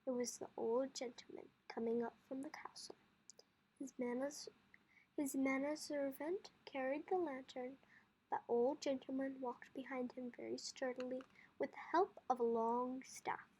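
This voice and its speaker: Amateur female audiobook reader, high voice, careful storybook narration with small stumbles. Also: steady tone, unhurried, darkish tone, breathy edge, poor audio. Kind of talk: storybook narration read aloud.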